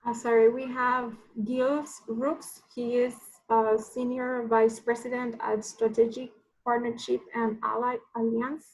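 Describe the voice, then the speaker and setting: A woman's voice: a little high, flat delivery, measured pace, a little mellow, rough recording. A woman politely and carefully introducing guests at a meeting.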